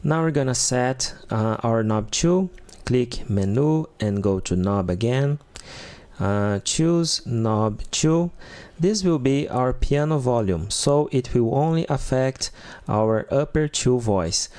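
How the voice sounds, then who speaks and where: A man whose first language is Portuguese, hosting a keyboard tutorial and calmly explaining settings step by step. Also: medium pitch, takes it slowly, smooth. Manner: calm step-by-step explaining with fillers. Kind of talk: instructional walkthrough.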